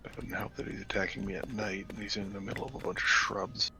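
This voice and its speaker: A man chatting casually as he plays a game, in a low-key mutter. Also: monotone, quick pace. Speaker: co-op gaming partner. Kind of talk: casual chat while gaming. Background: video game music.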